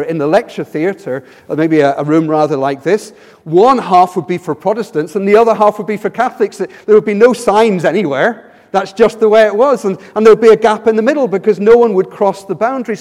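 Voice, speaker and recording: An English man preaching with a high voice, earnest and rhetorical, who often restarts his phrases. His sermon rings with the long reverb of a church hall. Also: darkish tone. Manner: earnest, rhetorical questions, repeats words when starting phrases.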